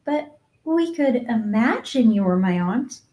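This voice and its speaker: A woman voicing an excitable, dreamy young orphan girl in a storybook, earnest, breathless and gushing. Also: fairly high pitch, unhurried. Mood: wistful. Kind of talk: acted audiobook dialogue.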